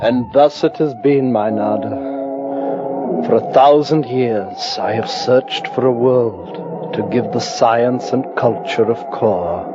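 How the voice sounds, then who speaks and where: A man's voice: mid-range voice, natural voice. A man playing a solemn, thousand-year-old alien wanderer telling of his long quest in an old radio drama. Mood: solemn, weary. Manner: slow, solemn storytelling. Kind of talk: old-time radio sci-fi drama acting. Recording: dull old radio recording. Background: theremin music.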